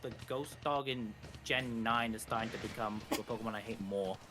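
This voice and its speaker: A man's voice: lowish voice, normal speed, music in the background. A man co-commentating on a video game, casually explaining details as they come.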